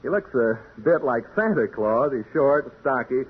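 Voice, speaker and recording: A man's voice: medium pitch, lively intonation, unhurried, slightly rough. A man acting as a wry, skeptical radio reporter on a ghost hunt, chatty and joking, in old-time radio drama style. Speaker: radio broadcaster. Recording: tinny, noisy old-time radio recording.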